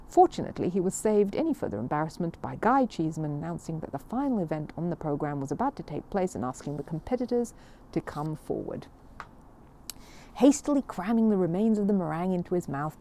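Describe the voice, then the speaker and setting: A woman reading a children's story aloud in an expressive, animated storytelling manner. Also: on the low side, a little hiss or hum.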